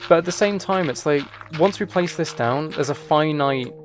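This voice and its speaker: A man's voice: fairly high pitch, moderate pace, soft background music. A man livestreaming a game, casually rambling and thinking aloud as he replies to viewers. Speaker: livestreamer, gaming and ASMR channel creator. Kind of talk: casual live stream chat while gaming.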